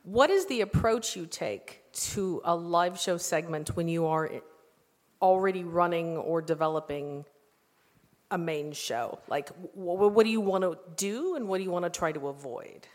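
A woman's voice: fairly low pitch, moderate pace. A woman in the audience asking a question at a live event. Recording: echoey hall, distant from microphone.

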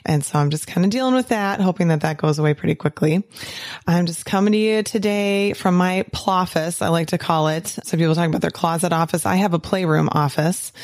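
A woman hosting a podcast from a small home office, chatting casually and laughing often, her voice a little hoarse from a cold. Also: on the low side, a little fast, a little mellow, dry recording, clean studio sound. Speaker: podcast host.

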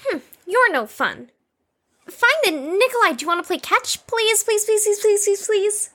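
Excitable kid chatterbox, audio drama: very high squeaky pitch, animated delivery, bubbly and eager. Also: female voice, moderate pace. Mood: eager, pleading. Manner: excitable, chirpy.

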